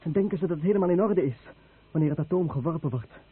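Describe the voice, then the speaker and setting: An agitated, exhausted villager in an old radio play. The voice is husky and rather high, pressing and insistent. It sounds thin and narrow, like old radio. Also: male voice, fast talker. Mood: fervent. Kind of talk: radio drama acting.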